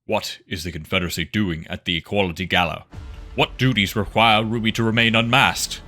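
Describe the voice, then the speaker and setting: A man reading scripted, measured, dramatic narration for a science fiction audio drama. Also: lowish voice, fairly even intonation, sharp tone, soft background music.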